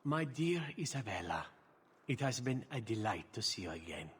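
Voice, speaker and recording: A man's voice: lowish voice, very animated, moderate pace, long reverb tail, slight background noise. A man voicing a suave, cocky, flirtatious assassin in a video game. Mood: pleased, courtly. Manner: teasing, theatrical, courtly. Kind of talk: video game dialogue acting.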